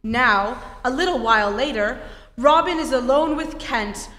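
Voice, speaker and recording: A woman's voice: high-pitched, animated, unhurried, a bit bright. A woman giving dramatic scripted narration in the style of a 1940s radio serial. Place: theatre stage before an audience. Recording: echoey hall, live stage performance.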